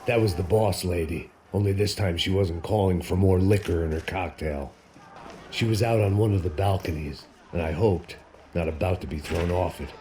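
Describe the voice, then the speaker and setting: A man playing a jaded, middle-aged, hard-drinking action hero in deadpan, world-weary noir game narration. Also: low-pitched, normal speed, background noise, close to an everyday voice. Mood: brooding, weary. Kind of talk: hardboiled noir voice-over narration.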